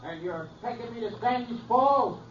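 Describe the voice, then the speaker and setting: A man's voice: very high voice, animated, normal speed. An actor playing a suspicious wanted man calling tersely from his hideout in a western radio drama. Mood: wary. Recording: distant, echoey, muffled old radio recording.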